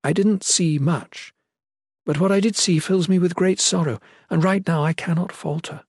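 A male reader takes on a gentle noblewoman of a fantasy audiobook, tender and sorrowful. Her speech is formal and a little hesitant, pitched well up, in a dry recording. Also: moderate pace, husky, no room sound. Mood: sorrowful, unsteady. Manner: formal, soft-hearted, hesitant.

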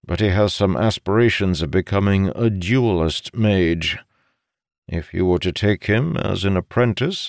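A man voicing a weary, authoritative father of grown sons in an audiobook, stern and measured but placating. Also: sits low, creaky, dry recording, studio quality, pitched above the performer's own voice, exaggerated voice. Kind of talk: audiobook character voice.

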